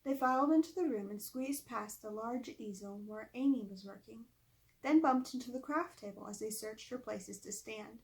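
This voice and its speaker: A woman's voice: a little high, moderate pace, gravelly, rough recording. A woman reading a children's story aloud expressively, with chatty asides to her listeners. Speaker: author reading own book aloud. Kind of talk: storybook read-aloud narration.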